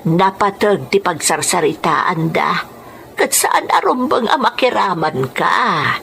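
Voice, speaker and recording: A woman's voice: lowish voice, lively intonation, takes it slowly, creaky, fairly bright tone, slight background noise, full character voice. A woman playing a firm, soothing old grandmother in an Ilocano radio drama, with an Ilocano accent. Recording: music under the voice.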